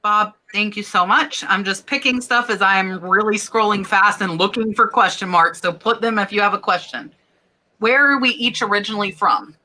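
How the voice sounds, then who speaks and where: A woman's voice: mid-range voice, normal speed, bright, forceful tone. A woman from Florida hosting a live stream chat, talking casually, laughing and reading out viewer comments. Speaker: host.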